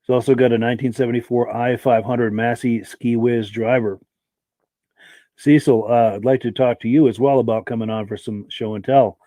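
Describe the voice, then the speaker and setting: A man's voice: mid-range voice, steady tone, normal speed, mellow, dry recording. A man hosting a live podcast, reading out viewer comments and thanking each viewer by name, with a dry throat and a voice that is giving out. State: dry throat, losing his voice. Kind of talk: live-stream chat.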